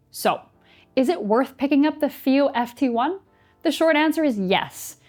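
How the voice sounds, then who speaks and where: A young woman presenting a scripted product review in an articulate, measured, explanatory way. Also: sits high, darkish tone. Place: indoor studio set.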